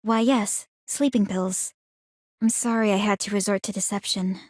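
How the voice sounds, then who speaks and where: An actress playing a calm, analytical young woman in a video game, speaking in a measured, formal and articulate way. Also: medium pitch, no room sound, clean studio sound, natural voice. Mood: composed, confident. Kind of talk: scripted video game character dialogue.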